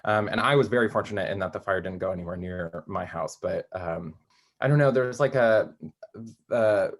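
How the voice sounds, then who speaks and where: Low-voiced man giving hesitant interview answers. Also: moderate pace. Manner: hesitant, rambling, thinking aloud. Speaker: interviewed playwright.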